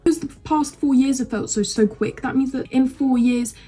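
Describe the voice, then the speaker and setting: Young British woman, 19, mellow breathy voice, frank rambling vlogger who swears freely. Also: high-pitched, moderate pace, faint music behind. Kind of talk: casual to-camera chat.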